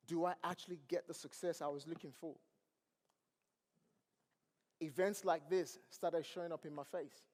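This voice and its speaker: Nigerian-accented male motivational speaker, high voice, crowd questions, ringing hall reverb. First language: not English. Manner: preachy, asks the crowd questions. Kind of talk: motivational stage speech. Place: large event hall.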